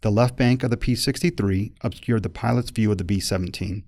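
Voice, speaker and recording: A man narrating a formal accident report from a script in a measured, impersonal, factual manner, recorded close as a voice-over. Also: fairly low pitch, a little mellow, dry recording.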